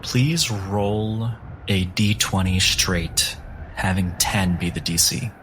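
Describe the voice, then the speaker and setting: A man running a tabletop game as game master, narrating scenes in a measured, descriptive way and calling for rolls. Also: sits low, a little hiss or hum. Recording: loud music score underneath.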